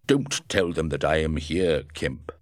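A man's voice: rumbling low, lively intonation, a little slow, no echo, studio quality. A man voicing a wounded, desperate invisible scientist, strained and pleading.

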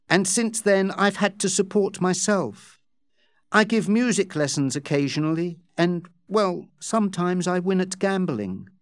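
Man voicing a coy, high-pitched adventuress. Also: dry recording. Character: flirtatious, self-pitying adventuress Becky, a grown woman.

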